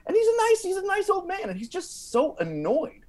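A man telling comedic stories as a podcast guest, casual and animated, acting out quotes as he goes. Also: very high voice, brisk. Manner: animated, acts out quotes with frequent like and you know.

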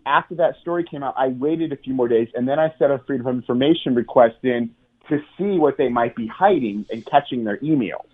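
An American man calls in to a radio interview and speaks in a medium-pitched voice. His sound is thin and phone-like. He explains in an earnest, roundabout way, full of ums and restarts. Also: brisk. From United States. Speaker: journalist guest. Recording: tinny phone line.

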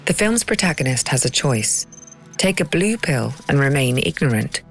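A woman narrating and hosting a documentary podcast in a crisp, dramatic storytelling manner. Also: very deep voice, big pitch swings, normal speed, faint music behind. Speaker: narrator and host.

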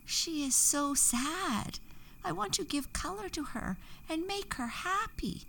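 A woman voicing a gentle young fairy girl in a storybook, speaking softly and pleadingly. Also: very high-pitched, expressive, slow pace, creaky, recorded from a distance, a little hiss or hum, pitched above the performer's own voice, full character voice, low-quality recording. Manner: soft, pleading and timid.

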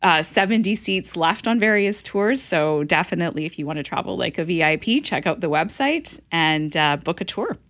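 A woman's voice: on the low side, expressive, moderate pace, few pauses, a bit bright. A woman guest on a radio show, friendly and laughing easily, talking with many fillers. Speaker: festival organiser guest.

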